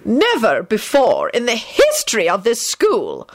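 A woman's voice: a little high, big pitch swings, normal speed, fairly bright tone, voice pushed up. A woman reading a story as a stern, icy elderly headmistress with a clipped, formal, severe manner. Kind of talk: audiobook character voice.

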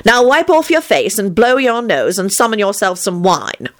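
A woman voicing a sensible, exasperated mother who talks briskly. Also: medium pitch, big pitch swings, pitched above the performer's own voice, lightly acted. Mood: firm.

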